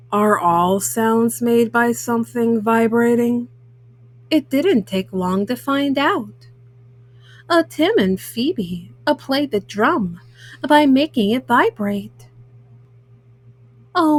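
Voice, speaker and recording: A woman reads a children's picture book aloud, haltingly, often stopping on small hesitant fillers. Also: a little high. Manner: halting, word-by-word reading with frequent hesitant fillers. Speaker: storyteller, host of a children's read-aloud show.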